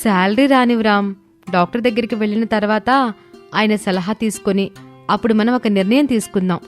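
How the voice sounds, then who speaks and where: A woman voicing a sweet, dutiful young daughter-in-law in an animated moral story. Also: medium pitch, fairly quick, soft background music, natural voice. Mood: gentle.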